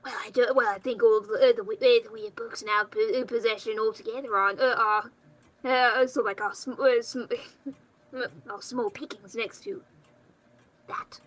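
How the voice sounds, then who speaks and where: A woman chatting casually as a player in a tabletop game, halting and stammering as she goes. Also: a little high, steady tone, low-quality recording.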